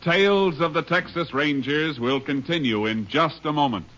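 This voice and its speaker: A man's voice: a little high, normal speed. A man with an American accent announcing formally and dramatically in the style of 1950s radio. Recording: old radio broadcast with loud music.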